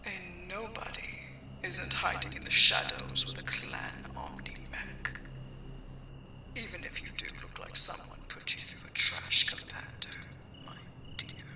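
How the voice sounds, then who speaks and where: A woman's voice: fairly low pitch, fairly even intonation, a little slow, creaky, roomy sound, far from the mic, noisy background. A woman playing a sly, menacing pirate mech pilot in an ASMR sci-fi roleplay, teasing and drawing out mock-sweet threats. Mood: probing, commanding.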